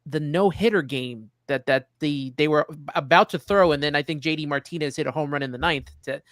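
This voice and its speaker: A man's voice: on the high side, brisk, a bit bright, no room sound, studio quality. A man hosting a casual sports interview, chatting as he puts questions to a guest. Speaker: podcast host.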